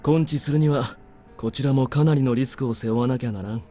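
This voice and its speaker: An actor playing a cool, commanding officer in a Japanese visual novel, curt, composed and dryly sardonic. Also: male voice, medium pitch, rapid-fire, close to an everyday voice. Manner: curt, composed, quietly menacing. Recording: game music under the voice.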